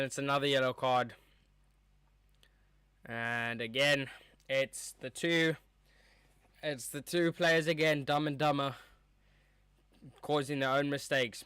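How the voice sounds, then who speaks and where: A man's voice: mid-range voice, pushed and bright, smooth, no room sound. A young man hosting a live match watchalong, chatting casually and calling the play as it happens into a streaming mic. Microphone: streaming mic with a cover on top.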